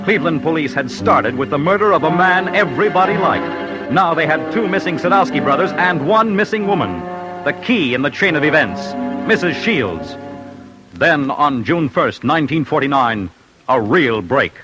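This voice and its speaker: A man's voice: on the high side, lively intonation, moderate pace. A man narrating a scripted 1940s radio crime series in an urgent, punchy, dramatic manner. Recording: old radio broadcast over music.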